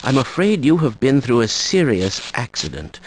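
A man playing an anxious, courteous older scientist in a video game, speaking in a measured way. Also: medium pitch, huge pitch swings, a little hiss or hum. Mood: concerned, regretful.

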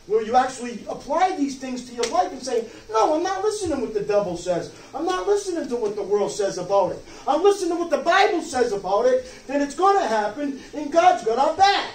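A man's voice: very high-pitched, sing-song, brisk, few pauses. A man in his sixties, a pastor, preaching a sermon emphatically from a church pulpit with frequent rhetorical check-ins. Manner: emphatic, rhetorical questions, check-ins like "okay?" and "you see?". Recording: roomy distant mic, some background noise.

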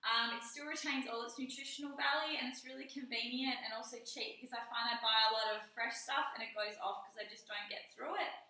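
A woman's voice: on the high side, steady tone, fairly quick, hardly any pauses, pushed and bright. A young woman in her twenties, a personal trainer, talking casually through a kitchen tour with lots of "um" and "you know" fillers. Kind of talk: casual vlog walkthrough. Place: small home kitchen indoors. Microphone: camera mic a few metres away. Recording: echoey room audio from a distant camera.

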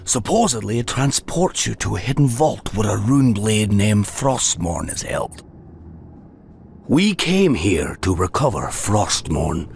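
A man playing a gruff, hearty dwarf adventurer with a blustery, folksy manner in a video game. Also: on the low side, darkish tone, a little hiss or hum. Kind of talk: video game cutscene acting.

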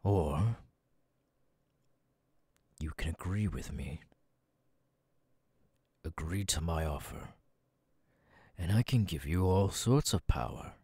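A man voicing a menacing, haughty, sadistic demon king in an ASMR audio roleplay, soft-spoken and condescending. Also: low voice, expressive, slow, pauses often, husky, no echo, exaggerated voice. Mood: calm, threatening. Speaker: voice actor.

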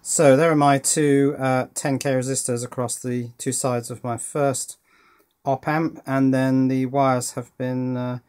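British male hobbyist presenter, medium pitch, informal, thinking out loud with hesitations. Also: flat delivery, a little slow, clean tone. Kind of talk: casual hands-on project narration. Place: indoors at a workbench.

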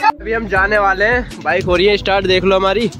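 A man's voice: high-pitched, steady tone, brisk, over music. A young man vlogging outdoors in a village with a phone at arm's length, chatting casually and teasing his friends. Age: young adult. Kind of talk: casual vlog chat to camera. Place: outdoors in a village and on a moving bike. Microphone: phone held at arm's length.

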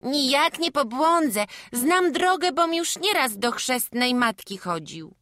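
Kobieta grająca chłopskiego chłopca z gwarą. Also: female voice, sits high, very animated, slow pace, fairly bright tone, no room sound, clean studio sound, exaggerated voice. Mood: earnest. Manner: rustic village dialect, earnest. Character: small peasant boy, humble and wary. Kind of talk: audiobook acting.

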